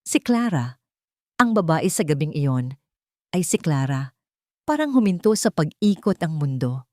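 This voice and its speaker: A woman narrating a scripted romance story in a dramatic, measured way, phrase by short phrase. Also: sits low, very animated, darkish tone, no room sound, studio quality.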